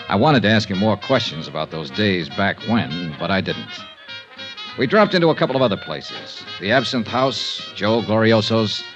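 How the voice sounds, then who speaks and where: An actor playing a tough, wry insurance investigator in a 1950s radio drama, with a clipped, hard-boiled delivery. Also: male voice, fairly low pitch. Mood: wistful, reflective. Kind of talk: 1950s radio detective drama, first-person narration. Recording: muffled 1950s radio recording with music.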